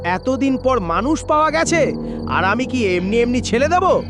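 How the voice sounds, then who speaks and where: A man voicing a hot-tempered, menacing middle-aged uncle turned ghoul with a distorted voice, in an animated horror story. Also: extremely high pitch, moderate pace, music in the background.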